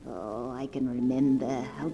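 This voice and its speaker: A woman's voice: very deep voice, steady tone, normal speed, muffled recording. An actress playing a reclusive old aunt in a 1940s radio drama, wistful and dramatic, lost in memories of her youth. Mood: wistful, haunted. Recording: old 1940s radio recording, organ behind.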